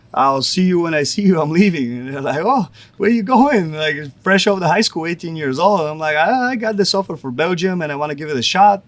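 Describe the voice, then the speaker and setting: A man's voice: on the high side, a bit bright, no room sound. A young man from Bulgaria, a pro wrestler, chatting casually in an interview and leaning on "like" a lot. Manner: rambling, says "like" often.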